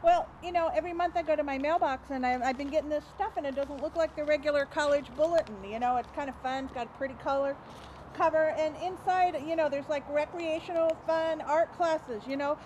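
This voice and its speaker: A woman's voice: high-pitched, a little fast, a little mellow, slight background noise. A woman giving a casual interview as a local resident, rambling and hesitant, recorded on location with dull sound. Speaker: interviewed local resident in a mock news report.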